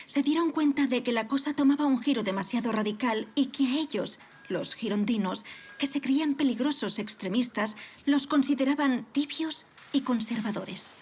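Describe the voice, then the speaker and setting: An actress playing a poised, wry marquise in a period audio drama, with refined, courteous and formal speech. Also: female voice, a little high, darkish tone, slight background noise, lightly acted. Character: poised, wry aristocratic marquise during the French Revolution.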